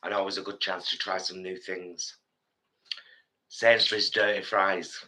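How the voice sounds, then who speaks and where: A man's voice: deep voice, measured pace, rough recording. A man in his fifties with a cold, chatting casually as co-host of a live stream and telling rambling stories. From England. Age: 50s. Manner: rambling storyteller, animated. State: has a cold, a bit bunged up.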